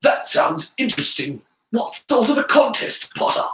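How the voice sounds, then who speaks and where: Man playing a haughty, drawling schoolboy, high-pitched. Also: sing-song, moderate pace, a bit bright, heavily acted. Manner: drawling, sneering. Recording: roomy, echoing room sound.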